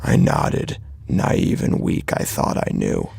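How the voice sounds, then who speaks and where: A man's voice: slow pace, mellow, dry recording. A man narrating a horror story in the first person, in a grave, brooding, dramatic manner.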